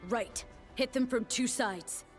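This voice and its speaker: A determined young female warrior leader from a video game, stern and commanding. Her fairly high voice delivers solemn, clipped declarations at a very slow, deliberate pace. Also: faint music behind. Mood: brisk, tactical. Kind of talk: video game character voice lines.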